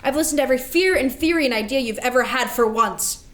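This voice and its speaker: A woman's voice: high voice, quick pace, a bit bright. A woman acting a stern, burdened older sister in a staged play reading. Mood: exasperated. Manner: stern, scolding.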